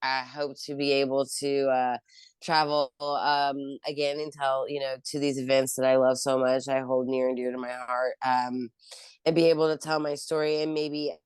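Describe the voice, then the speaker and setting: A woman's voice: extremely low pitch, level tone, moderate pace, smooth, dry recording. A woman as an interview guest, chatting casually about her work, rambling with lots of fillers and restarts. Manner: rambling, heavy with "um" and "you know" fillers and restarts. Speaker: interview guest, cannabis company founder.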